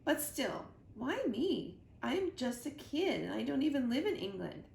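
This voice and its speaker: A woman's voice: sits high, very animated, normal speed, a little mellow, voice pushed up, heavily acted. A woman voicing an earnest, puzzled young boy spy, plain-spoken and questioning, in a children's storybook reading. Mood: earnest, hesitant. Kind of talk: children's storybook read-aloud. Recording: roomy echo, clear background noise.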